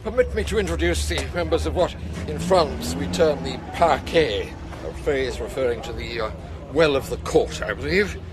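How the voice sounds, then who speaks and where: Officious radio drama commissioner for a male actor: higher-pitched, polite, ceremonious, a little hesitant. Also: normal speed, noisy background, close to an everyday voice. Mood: courteous. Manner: formal, polite, slightly hesitant. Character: courteous, officious French police commissioner.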